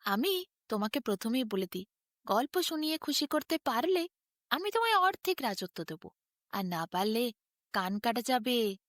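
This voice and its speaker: A woman's voice: fairly high pitch, very animated, moderate pace, pauses often, fairly bright tone, no echo, studio quality. A woman voicing an impatient, demanding king in a folk tale, curt and commanding.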